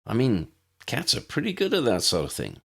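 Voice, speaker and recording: A man's voice: mid-range voice, huge pitch swings, moderate pace, gravelly, husky, voice pushed up, full character voice. A man voicing a plucky, practical young woman in a matter-of-fact, resigned way. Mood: considering.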